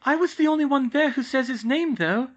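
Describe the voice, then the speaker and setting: A man's voice: very high-pitched, a little fast, highs cut off, voice pushed up. A man reading aloud voices a clever, anxious young witch in a storybook. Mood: distraught.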